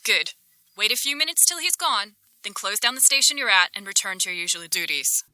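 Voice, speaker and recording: A woman's voice: medium pitch, animated, fairly quick, sharp tone, no room sound. A woman playing a cold, threatening boss giving curt commands in an audio drama. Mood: cold, menacing. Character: cold, threatening female boss controlling an underling.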